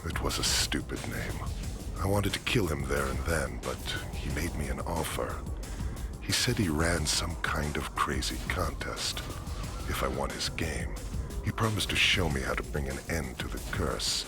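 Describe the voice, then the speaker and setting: An actor playing a sadistic, cursed serial killer, narrating his story in a dark game cutscene with slow, menacing, sardonic delivery. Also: extremely low pitch, lively intonation. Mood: brooding menace. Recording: loud music under the voice. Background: loud music.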